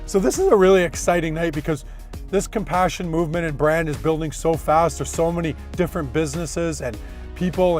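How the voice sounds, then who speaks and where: Guy vlogging, pumped up motivational talk, voice going hoarse, music under it. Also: on the high side. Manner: energetic, motivational preaching. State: losing his voice. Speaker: vlog host. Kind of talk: casual vlog talk to camera.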